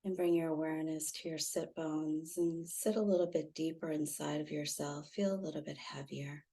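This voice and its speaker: A woman guiding a meditation in a soothing, gently instructive way, leaving long pauses. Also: sits low, fairly even intonation, gravelly. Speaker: meditation guide, intuitive healer. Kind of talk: guided meditation.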